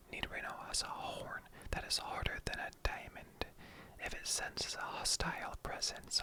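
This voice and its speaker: Breathy whispering ASMR reader. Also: low-quality recording. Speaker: online video creator reading aloud. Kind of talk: whispered ASMR reading of creature encyclopedia entries.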